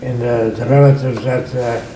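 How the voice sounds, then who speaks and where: An older man from Hungary, a winery owner, telling his story in an oral history interview, halting and repeating words often. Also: medium pitch, steady tone, reverberant, background noise.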